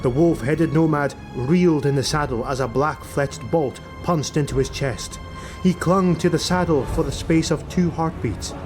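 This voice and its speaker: A man with a slightly high voice narrates a fantasy battle story. His delivery is scripted and dramatic, and music plays clearly behind him throughout. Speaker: audiobook narrator.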